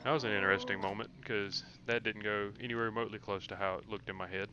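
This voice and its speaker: A man's voice: on the low side, fairly even intonation, fast, lo-fi sound. A man giving casual gameplay commentary as a streamer, thinking aloud in an informal, slangy way. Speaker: game streamer.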